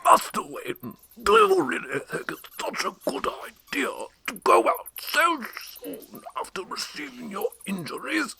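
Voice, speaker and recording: A man's voice: very high-pitched, huge pitch swings, unhurried, gravelly, few pauses, bright, forceful tone, a little hiss or hum, full character voice, low-quality recording. A man playing a fretful, dutiful older butler in a radio drama, speaking formally and pleadingly. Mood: worried.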